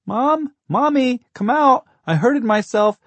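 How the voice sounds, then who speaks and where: Whiny, clingy little boy, piercingly high and loud, childish storybook character. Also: animated, slow pace, dry recording, full character voice. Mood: panicked pleading.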